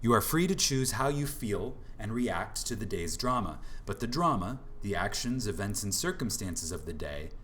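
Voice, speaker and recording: A man reading an audiobook from a script in an emphatic, deliberate manner, with dramatic pauses for effect. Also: on the low side, very animated, a bit bright, slight background noise. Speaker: audiobook narrator.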